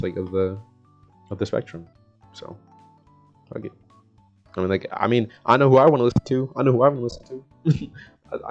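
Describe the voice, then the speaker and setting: A young man speaks in a fairly low voice over a music bed. He talks casually in slang, repeating himself as he works through self-help advice. The sound is slightly dull and lo-fi. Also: a little mellow. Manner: rambling and repetitive, often chuckling mid-sentence. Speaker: vlogger giving self-help talk. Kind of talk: casual, slangy motivational chat to the audience. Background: background music.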